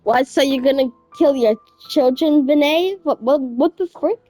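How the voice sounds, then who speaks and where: A woman's voice: high-pitched, moderate pace, dark tone. A woman streaming a game playthrough, reacting casually and playfully in slang with repeated catchphrases. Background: game music.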